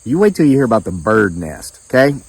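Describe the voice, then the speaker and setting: A hoarse man hosting his own channel, talking to camera in an indignant, folksy way and reading out viewer comments. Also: mid-range voice, very animated, normal speed, darkish tone, no room sound. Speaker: channel host.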